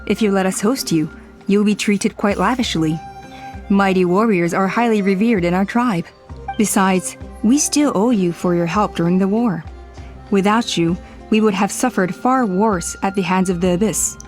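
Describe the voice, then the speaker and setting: Woman playing a polite formal tribe elder in a game, calm middle voice, light music behind. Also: mid-range voice, natural voice. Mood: earnest. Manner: formal, measured, apologetic.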